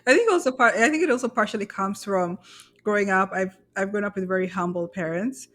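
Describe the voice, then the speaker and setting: Lady podcast co-host just chatting, open and kinda self-deprecating, everyday mid pitch. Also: brisk, a bit bright. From Kenya. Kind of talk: casual conversational chat.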